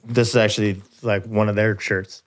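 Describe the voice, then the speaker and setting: A man hosting a podcast, relaxed and chatty, swearing freely as he asks questions. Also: fairly low pitch, moderate pace. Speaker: podcast host. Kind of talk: casual podcast conversation.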